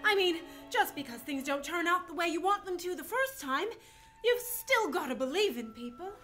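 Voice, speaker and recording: A woman playing a loving, earnest mother in a radio play, expressive and emphatic. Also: very high-pitched, moderate pace, faint music behind, heavily acted. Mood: earnest, reassuring. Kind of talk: community radio play acting.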